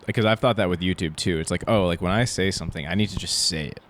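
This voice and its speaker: A man hosting a casual in-person podcast with a bassy voice, laid-back, laughing and swearing freely as he chats. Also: quick pace. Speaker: podcast host. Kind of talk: casual chat. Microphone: in-person podcast mic with phone backup.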